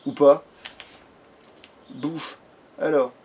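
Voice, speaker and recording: A man's voice: fairly high pitch, steady tone, drawn-out words, a little mellow, lo-fi sound, a little hiss or hum. A man in casual gaming chat, thinking aloud in a rambling way as a let's player.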